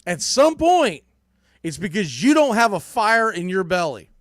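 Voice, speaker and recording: A man's voice: high voice, very animated, unhurried, no echo, clean studio sound. A man with an American accent giving blunt, emphatic, opinionated baseball commentary as a sports podcast host. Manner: blunt, emphatic, mildly salty language.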